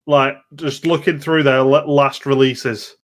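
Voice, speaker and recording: A man's voice: medium pitch, level tone, unhurried. A man co-hosting a podcast, chatting casually about video games. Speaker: podcast co-host. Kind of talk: casual video game discussion.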